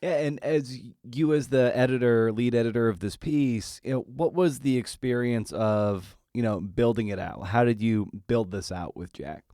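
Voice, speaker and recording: A man's voice: mid-range voice, normal speed, few pauses, warm tone, dry recording, studio quality. A man hosting a podcast and putting questions to his guest in a casual, conversational style. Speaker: podcast host, interviewer.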